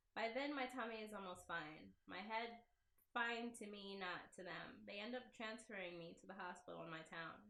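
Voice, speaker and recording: A woman's voice: mid-range voice, pushed and bright, reverberant, poor audio. A woman voicing a shaken, sarcastic young woman's diary from a visual novel, in an incredulous, slangy, confiding way. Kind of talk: dramatic first-person reading of visual novel diary.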